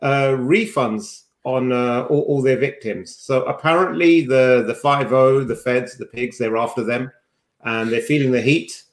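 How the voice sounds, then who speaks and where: A man's voice: medium pitch, a little slow. A man co-hosting a podcast, chatting in an informal, teasing way. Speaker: podcast co-host. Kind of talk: casual chat. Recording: roomy, echoing sound.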